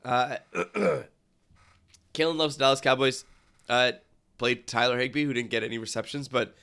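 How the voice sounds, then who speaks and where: A man's voice: mid-range voice, steady tone, moderate pace, bright, forceful tone, smooth. Congested man hosting a sports podcast. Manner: rambling, lots of fillers and restarts. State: congested from a cold. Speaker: podcast host. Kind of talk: casual sports podcast talk.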